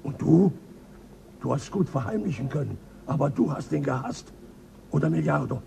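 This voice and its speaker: Give a gruff crewman, suspicious and defensive. His voice is heavily gravelly and mellow. A faint hiss sits under it. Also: a little high, lively intonation, moderate pace.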